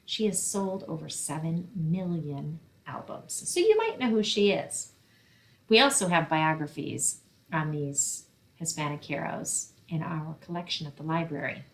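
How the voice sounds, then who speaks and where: A woman's voice: sits low, lively intonation, measured pace, slight background noise, low-quality recording. A woman reading a picture book aloud and explaining things simply for children, as a librarian hosting story time.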